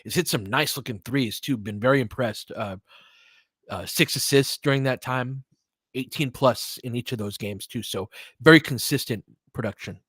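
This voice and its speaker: A man's voice: mid-range voice, moderate pace, a bit bright, husky, no room sound. A man hosting a sports stream in a casual, conversational way, thinking aloud and qualifying his opinions as he answers listeners. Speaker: sports livestream host.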